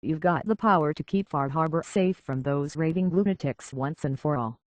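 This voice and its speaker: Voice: medium pitch, normal speed, no room sound, natural voice. An actor playing a plainspoken, guarded survivor from a harbor town in a video game, talking in a terse, folksy way. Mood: guarded, stern. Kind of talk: video game character acting.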